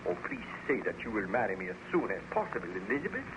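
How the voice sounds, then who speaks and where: A man's voice: fairly low pitch, sing-song, fast talker. An actor playing an anguished baron and scientist haunted by his creation, in a formal, theatrical old radio drama style. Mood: ardent, tender. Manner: formal, theatrical, fervent delivery. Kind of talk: 1930s radio drama acting. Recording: muffled, noisy old-time radio recording.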